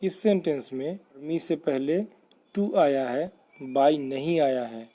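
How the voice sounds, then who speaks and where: A man teaching English grammar in a deliberate, step-by-step, instructive manner, as in a scripted lesson. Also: on the high side, warm tone.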